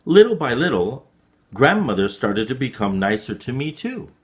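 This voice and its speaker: A man reading a story dramatically, with deliberate, clearly phrased and expressive delivery for learners. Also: mid-range voice.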